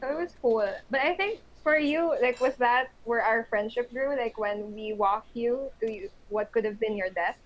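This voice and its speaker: A woman's voice: a little high, normal speed, hardly any pauses. A woman from the Philippines, a podcast guest chatting casually and laughing often. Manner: laughs often, warm and encouraging. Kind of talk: casual chat. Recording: dull lo-fi sound.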